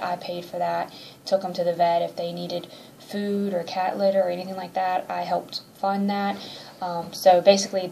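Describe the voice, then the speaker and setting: Congested woman with a gravelly, flat voice. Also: on the low side, slight background noise, poor audio. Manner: chatty, rambling with many ums. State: congested, nasal from allergies. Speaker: vlogger. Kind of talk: casual personal update to camera.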